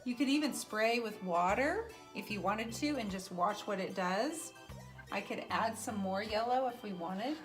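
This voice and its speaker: A woman's voice: mid-range voice, unhurried, faint music behind. A woman hosting an improvised painting demonstration, chatting casually and playfully with exclamations. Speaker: host of a painting puppet show.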